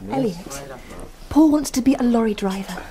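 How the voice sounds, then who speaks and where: Actress as earnest, polite English teen girl for radio play; high voice, noisy background. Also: lively intonation, moderate pace, dark tone, lightly acted. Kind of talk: radio drama acting. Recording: noticeable background noise.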